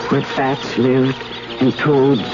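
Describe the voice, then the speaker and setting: A woman's voice: a bass voice, little pitch movement, slow pace. An actress playing a troubled, fearful yet fascinated woman in an intense, dramatic 1970s radio play. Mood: haunted, confessional. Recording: dull 1970s radio, loud music underneath.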